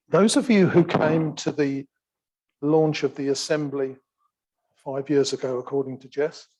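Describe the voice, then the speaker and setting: A soft-spoken man giving an informal talk at a community meeting, unhurried and gently wry. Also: on the high side, steady tone, low-quality recording. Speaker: assembly action group member speaking at a community meeting. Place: theatre function room, live event.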